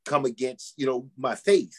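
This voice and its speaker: Husky-voiced man, measured and earnest. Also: mid-range voice. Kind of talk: reflective conversational talk.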